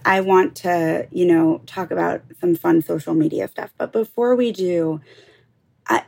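A woman interviewer with a gravelly, low, mellow voice asks long, winding questions, padding them with fillers as she goes.

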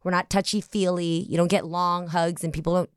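An excitable, bubbly woman in her forties hosting an interview podcast, laughing easily. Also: lowish voice, a little fast, smooth, dry recording, clean studio sound. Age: 40s. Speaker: podcast host.

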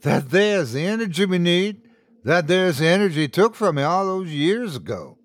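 A man's voice: high-pitched, sing-song, moderate pace, no room sound, pitched above the performer's own voice. A man voicing a possessed, taunting young man with a mockingly sweet Louisiana drawl in a horror story. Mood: gleeful menace.

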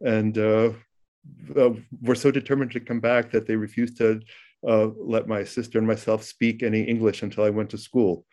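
A man's voice: lowish voice, little pitch movement, moderate pace, darkish tone. A middle-aged man whose first language was Ukrainian, speaking at a panel with many ums and restarts. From United States. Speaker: novelist guest. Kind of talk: panel discussion.

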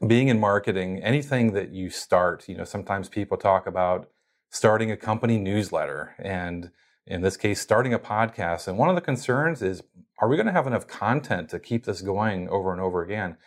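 A man's voice: low voice, animated, brisk. A man being interviewed as a guest, explaining casually how he runs his company's podcast, with plenty of fillers and restarts.

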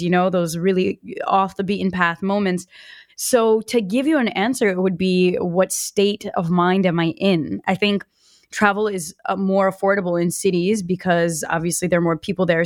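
A woman's voice: medium pitch, no echo, clean studio sound. A woman in her late twenties, born in Brazil and raised in the US, telling stories as a guest in a casual podcast interview with lots of "like". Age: 20s. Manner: animated storyteller, lots of "like".

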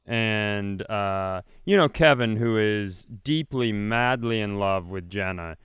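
A man, a podcast co-host, telling a comedic story with animated delivery, jumping into other people's voices. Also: lowish voice, slow pace, smooth, no room sound, studio quality. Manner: animated, mimics voices in quotes, many restarts.

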